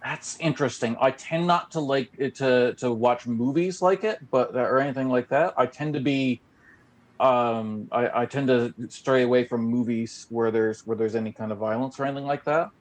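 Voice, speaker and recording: A man's voice: medium pitch, moderate pace. Male podcast host from Newfoundland, casual, rambling delivery, trips over his words. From Newfoundland, Canada. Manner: rambling, many restarts and fillers, animated when riled. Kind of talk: casual conversational interview.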